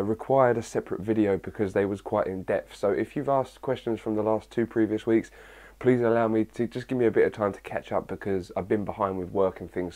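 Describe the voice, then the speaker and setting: Husky-voiced guy chatting. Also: on the low side, mellow. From England. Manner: chatty and rambling, with many fillers. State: husky, early-morning voice. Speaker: fitness vlogger answering viewer questions. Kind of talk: casual chat to camera.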